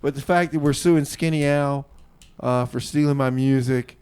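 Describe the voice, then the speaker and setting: A man's voice: medium pitch, steady tone, moderate pace, a little mellow, smooth. A man hosting an improvised comedy radio show, speaking in a hesitant way and often repeating himself.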